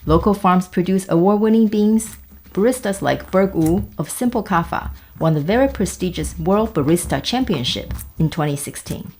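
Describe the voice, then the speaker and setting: A woman's voice: fairly low pitch, lively intonation, moderate pace, darkish tone, a little hiss or hum, poor audio. A woman in her fifties from Taiwan, narrating a scripted personal video essay with wry asides. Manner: wry, conversational, with asides and jokes.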